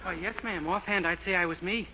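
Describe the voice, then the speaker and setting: A man's voice: sits high, a little fast, close to an everyday voice. A man playing a naive, cheerful, dim-witted young man in a 1940s radio sitcom, speaking with guileless earnestness. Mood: innocently puzzled. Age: young adult. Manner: guileless, earnest, literal-minded. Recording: noisy, muffled 1940s radio recording.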